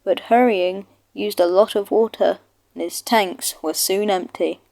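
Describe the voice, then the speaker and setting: A woman's voice: medium pitch, steady tone, a little slow, gravelly, darkish tone. A woman narrating a children's storybook from a script.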